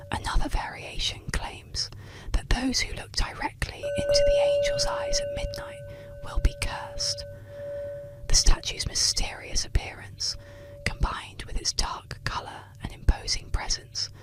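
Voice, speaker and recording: Female ASMR narrator, whispered voice, close-miked, slow soothing bedtime storytelling, scripted eerie tales. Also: extremely high pitch, rough recording. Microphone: close-up microphone.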